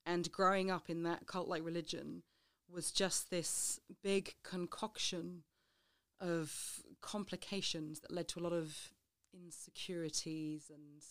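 A woman in her thirties, a life coach, telling her own story in an interview, open and quick to laugh. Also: on the low side, fairly even intonation, a bit bright. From Britain, raised between Scotland, Devon and Wales. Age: 30s. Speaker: life coach, podcast guest.

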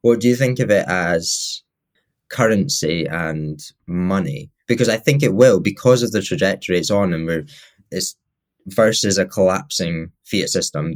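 A man co-hosting a podcast in casual chat, rambling and correcting himself as he talks. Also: sits low. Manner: rambling, with many "like" fillers and self-corrections. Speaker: podcast co-host.

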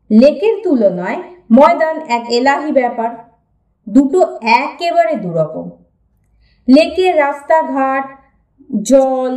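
A woman narrating a humorous story for an audiobook in an expressive, storytelling way. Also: high-pitched. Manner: lively, expressive storytelling reading. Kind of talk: reading a humorous story aloud. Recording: roomy echo, voice a bit distant from mic.

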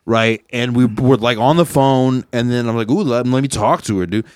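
A young man with a deep voice, a comedian hosting a podcast in loose, self-deprecating chat. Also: normal speed, a little mellow, no room sound. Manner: rambling, self-deprecating, swears casually. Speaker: podcast host, stand-up comedian.

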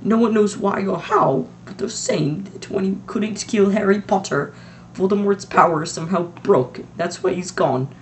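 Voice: mid-range voice, very animated, moderate pace, darkish tone, a little hiss or hum, voice pushed up. A reader voicing a strict older woman professor who speaks in a clipped, impatient way. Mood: shaken. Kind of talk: audiobook reading.

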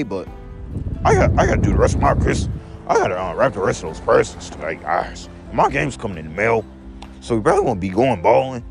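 A young man with a deep, gravelly voice talks straight to his viewers in a loose daily vlog. His pitch swings widely as he rambles, circling back to repeat each point, with faint music behind him. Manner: repeats each statement in an if-you and to-me pattern, says guys often. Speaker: vlogger.

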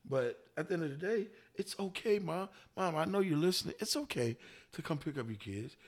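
A man's voice: a little high, fairly quick. Laid-back joking man podcaster. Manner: animated, joking, breaks into laughter. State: slightly congested with a cold. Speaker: podcast co-host. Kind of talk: casual chat.

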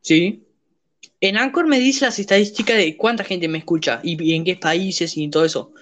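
A young man chats casually on a podcast in a fairly high voice. He rambles, breaks off and restarts his sentences, and often searches for names. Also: a bit bright. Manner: rambling, halting, many restarts. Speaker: podcast host. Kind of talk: casual chat.

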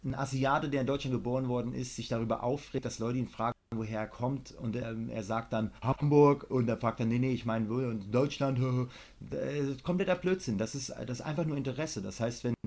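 A man's voice: mid-range voice, a little fast, a little mellow. A middle-aged man chatting casually on a podcast, rambling with many fillers and blunt, opinionated remarks.

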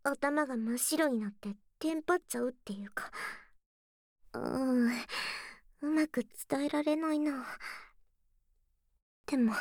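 A woman's voice: sits high, no room sound. A woman playing a bashful, secretly clingy tsundere young girlfriend in an intimate ASMR voice drama, flustered and pouty, close to the microphone. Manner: flustered, stammering, pouty, softening into breathy murmurs. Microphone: close binaural microphone, dry studio sound.

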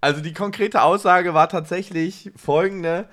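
A man's voice: high-pitched, a little slow, a bit bright. A man chatting casually as a podcast co-host, self-ironic and joking, given to playful exaggeration. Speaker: podcast co-host, social worker and workshop leader. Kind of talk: casual conversational podcast chat.

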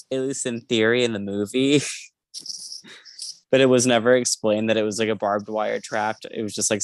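Creaky-voiced podcast co-host with a dry close sound. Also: mid-range voice, no room sound. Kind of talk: casual book discussion.

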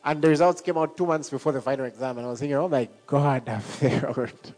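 A middle-aged man preaching a sermon. He talks in an animated way and laughs often between points. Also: mid-range voice, quick pace, faint music behind. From Zambia.

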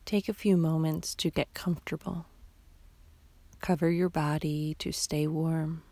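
A woman guiding a sleep meditation in a soft, half-whispered, soothing voice, leaving long pauses between short phrases. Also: low voice, flat delivery, dry recording. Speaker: meditation guide.

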